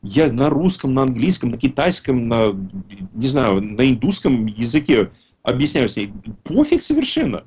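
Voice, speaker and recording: A man's voice: mid-range voice, sing-song, moderate pace, darkish tone, breathy edge. A man in his fifties, raised in a Russian-speaking country, speaking casually and emphatically at an online recovery meeting, often correcting himself mid-sentence. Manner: rambling, self-correcting, emphatic.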